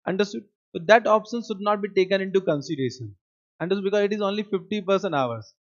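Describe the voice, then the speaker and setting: A man's voice: high-pitched, quick pace. A man with an Indian English accent, a native Hindi speaker, gives a classroom lecture. He often checks in with his students and repeats his key points. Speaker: teacher. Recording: dull lo-fi lecture recording.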